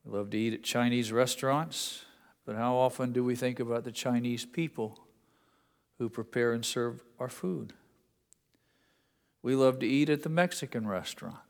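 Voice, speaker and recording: A man with an Indiana accent preaching a sermon to his congregation in an earnest, conversational way with folksy asides. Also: medium pitch. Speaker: pastor. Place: church sanctuary. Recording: long reverb of a church hall.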